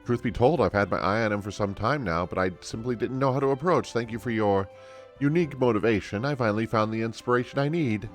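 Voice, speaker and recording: A man's voice: lowish voice, quick pace, soft background music, natural voice. A man voicing a shy, polite villager from a fantasy game in a formal, awkward manner. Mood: shy, grateful. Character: shy, polite villager daunted by confessing love.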